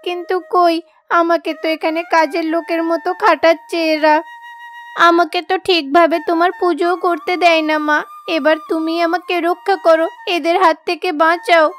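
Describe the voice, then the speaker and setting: A woman's voice: very high voice, flat delivery, moderate pace, fairly bright tone. A woman voicing a meek, mistreated young daughter-in-law in a cartoon fairy tale, pleading and plaintive. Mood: sorrowful, pleading. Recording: background music under the voice.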